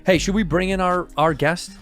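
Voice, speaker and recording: A man co-hosting a comedy podcast, joking around in casual banter, teasing and cursing with sudden loud outbursts. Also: sits high, steady tone, normal speed, faint music behind. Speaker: podcast co-host.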